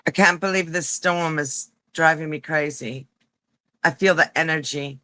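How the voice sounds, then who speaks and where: Bright-voiced man echoing the host. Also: fairly high pitch, a little slow. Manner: echoes the host's words back. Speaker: live stream guest. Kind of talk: casual chat.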